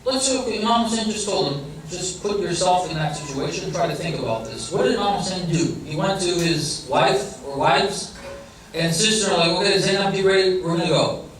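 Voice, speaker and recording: A man gives a religious lecture in a conversational way, often asking his listeners questions. His voice sits a little high. It is heard from some distance across a hall with a heavy echo and a faint noise underneath. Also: fairly quick, a bit bright. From Iranian family background. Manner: conversational, asks the audience questions. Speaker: speaker giving a religious lecture. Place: large hall or center.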